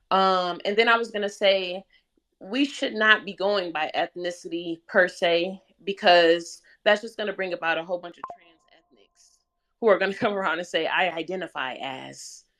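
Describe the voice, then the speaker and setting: Lowish-voiced woman in discussion. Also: normal speed, a bit bright. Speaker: discussion panelist. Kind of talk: casual discussion.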